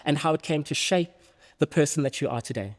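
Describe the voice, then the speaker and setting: A man's voice: a little high, brisk. A man hosting an interview podcast, introducing a guest and asking questions. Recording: echoey hall with long reverb.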